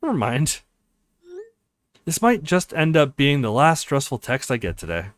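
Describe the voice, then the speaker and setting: A man's voice: medium pitch, very animated, normal speed. A man casually playing through a visual novel, reading dialogue aloud and reacting readily with exclamations. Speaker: let's play streamer.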